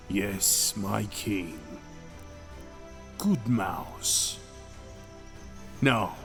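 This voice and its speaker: A man's voice: lowish voice, exaggerated voice. A man voicing a possessive, mad dragon king in an ASMR fantasy roleplay, theatrical and doting, purring endearments and dropping into whispers.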